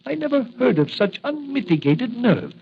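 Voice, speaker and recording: An actor playing an excitable apothecary doctor and advisor, animated and questioning in old radio drama style. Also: male voice, extremely high pitch, normal speed, gravelly, full character voice. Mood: puzzled, incredulous. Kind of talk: 1940s radio drama acting. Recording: tinny, hissy vintage radio recording.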